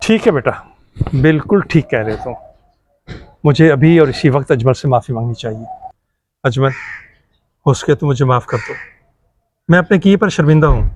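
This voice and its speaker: A man's voice: mid-range voice, slight background noise, lightly acted. A man playing an emphatic, earnest middle-aged customer with a bristling conscience in an amateur moral drama. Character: older customer, quick to bristle, later remorseful. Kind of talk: amateur moral short-film acting.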